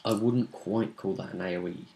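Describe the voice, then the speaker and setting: Male, game master in relaxed, conversational recap of a tabletop session. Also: sits low, moderate pace, creaky. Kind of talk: casual chat recapping a tabletop role-playing session.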